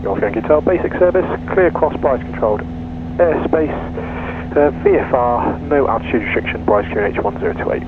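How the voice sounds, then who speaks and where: A man working as an air traffic controller, giving procedural clearances and instructions over aviation radio. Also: mid-range voice, animated, fairly quick. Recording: narrow crackly aircraft radio, loud engine noise.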